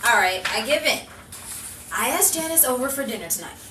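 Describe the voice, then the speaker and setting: A coy, touchy housewife played by a woman in a 1950s radio sitcom. She is theatrically indignant, with a high, animated voice, recorded at a distance in an echoey room. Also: brisk, close to an everyday voice. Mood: pleading, indignant. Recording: roomy, distant, noisy home recording.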